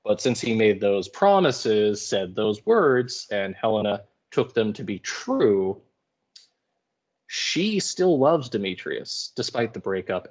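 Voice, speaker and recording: A man with a lowish voice comments as a teacher would in a relaxed class. He explains informally and paraphrases in everyday slang with a wry touch. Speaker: high school English teacher. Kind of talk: casual lecture commentary.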